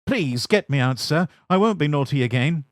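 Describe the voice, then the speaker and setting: An excitable little male engine from a storybook, all cheek and bounce, as a man reads him aloud. The voice sits above a plain speaking pitch and swings widely. It is close and dry, with no room around it. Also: no echo, natural voice. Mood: sorry. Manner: bouncy, impish, quick to cry out. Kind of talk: storybook character voice.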